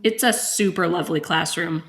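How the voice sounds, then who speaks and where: A woman acting as a gracious mother visiting a school, with a slightly low voice. She sounds interested in what she hears, and music plays behind her in this audio drama. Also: normal speed, a bit bright. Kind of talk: role-played conversation in an audio case study.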